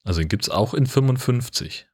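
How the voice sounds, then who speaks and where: A man's voice: mid-range voice, lively intonation, fairly quick, darkish tone, slightly rough. A man co-hosting a podcast in casual chat, wry and mock-formal, with hesitant phrasing. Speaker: podcast co-host.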